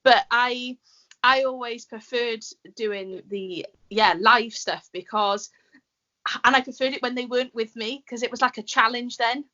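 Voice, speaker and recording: A woman's voice: on the high side, fairly even intonation, unhurried, bright, forceful tone. A woman in her twenties hosting a casual interview in a chatty, conversational way. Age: 20s. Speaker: interview host. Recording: dull lo-fi audio.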